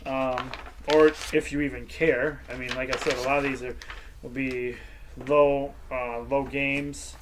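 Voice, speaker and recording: Slow, rambling man hosting sports talk. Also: mid-range voice, level tone, noisy background. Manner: rambling, hesitant, breaks into goofy sung tunes. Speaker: sports show host. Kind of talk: casual sports preview talk.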